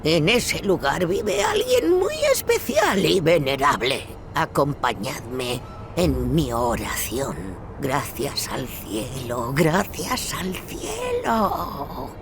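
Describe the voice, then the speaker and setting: A woman's voice: deep voice, huge pitch swings, slow pace, noisy background, exaggerated voice. A woman voicing a fervent, pious old woman in a video game, theatrical and imploring in manner.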